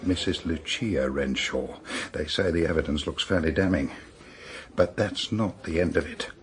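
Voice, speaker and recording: An actor playing a matter-of-fact British police detective in a radio drama, laying out facts deliberately. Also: male voice, a bass voice. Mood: grave, intrigued.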